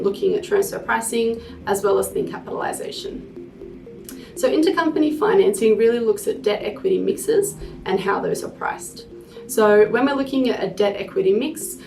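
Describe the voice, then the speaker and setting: Woman explaining, gravelly, roomy sound. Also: medium pitch, darkish tone. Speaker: host of a tax explainer series. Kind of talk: informal professional explainer to camera or listeners. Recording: roomy, distant mic, music bed.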